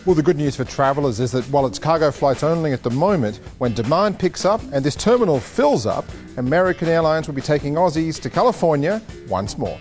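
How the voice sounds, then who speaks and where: Male news reporter, brisk scripted delivery, music clearly underneath. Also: on the high side, lively intonation, darkish tone. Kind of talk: reporter voice-over.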